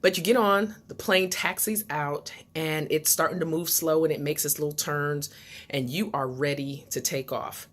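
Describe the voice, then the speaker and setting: Low-pitched woman giving a motivational talk. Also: fairly even intonation, moderate pace. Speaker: motivational speaker and channel host.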